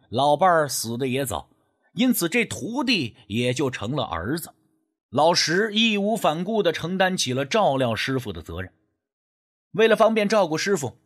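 A man's voice: high-pitched, fairly bright tone. A man narrating a scripted suspense story as an audiobook, with a storyteller's dramatic phrasing.

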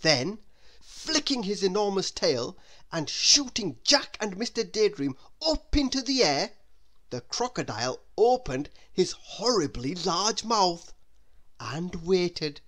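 A woman reading a children's storybook aloud in a deliberate, expressive way, drawing out the ends of phrases. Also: fairly low pitch. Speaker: storybook reader. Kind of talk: children's read-aloud narration.

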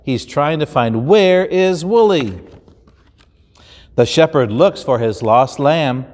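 A man in his fifties with an American accent, a pastor reading a children's story aloud in an expressive, deliberate way. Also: fairly high pitch. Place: large indoor church stage. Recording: roomy hall with long reverb.

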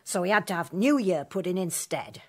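A chatty old country cook. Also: female voice, fairly low pitch, animated, darkish tone, slightly rough. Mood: deferential. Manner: deferential, chatty, slow. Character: older female country cook, respectful.

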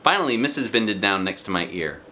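Animated man reading a children's book aloud. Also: on the low side, fairly quick. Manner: theatrical, playful emphasis. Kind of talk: expressive read-aloud narration. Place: small living room, indoors. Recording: faint background room noise.

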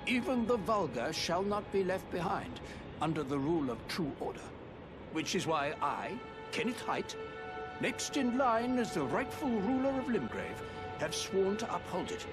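An actor playing a pompous, self-important noble lord with a grand, theatrical manner, in a game cutscene. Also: male voice, sits high, very animated, moderate pace. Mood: pleased. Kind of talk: video game voice acting. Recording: game cutscene with loud music.

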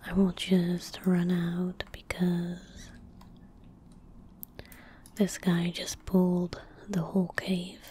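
A woman in her twenties giving gentle ASMR game commentary in a soft, breathy whisper, close to a desktop microphone. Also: on the low side, completely flat delivery. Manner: gentle, unhurried, murmuring. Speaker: streamer playing a video game. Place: small indoor room at a desk. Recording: close-miked with faint background noise. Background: faint game ambience.

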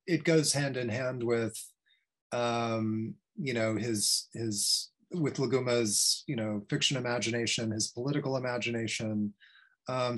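Middle-aged academic man, medium pitch, hesitant. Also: fairly bright tone. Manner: discursive, hesitant, frequent "you know". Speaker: guest speaker, history professor. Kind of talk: academic Q&A answer on a video call. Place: attic study with bookshelves, indoors. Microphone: video call computer mic.

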